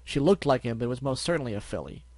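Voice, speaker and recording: A level-headed storybook unicorn stallion, voiced by a man who speaks in a measured, firm tone of calm exasperation. His voice sits at an ordinary middle pitch. The sound is dry and close. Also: dry recording. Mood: serious. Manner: measured, firm, matter-of-fact. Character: calm, serious lavender unicorn stallion, investigator.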